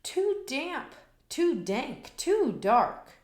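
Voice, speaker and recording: A woman doing a squeaky little mouse. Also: very animated, extremely slow, a little mellow, roomy sound, heavily acted. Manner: high squeaky put-on voice. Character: tiny timid male mouse, squeaky.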